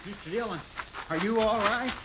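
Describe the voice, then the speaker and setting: A man's voice: sits high, normal speed, music in the background, lightly acted. A man playing an anxious, loyal deputy sidekick, folksy and deferential, in a 1950s radio western. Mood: anxious concern. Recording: very noisy, dull old radio recording.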